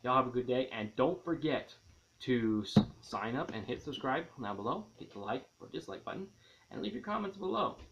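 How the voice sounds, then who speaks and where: A man's voice: mid-range voice, expressive, moderate pace, gravelly, noisy background. A man with a mid-range voice hosts a casual movie review in slightly dull, lo-fi sound. He is enthusiastic and rambles, circling back to rephrase what he has just said.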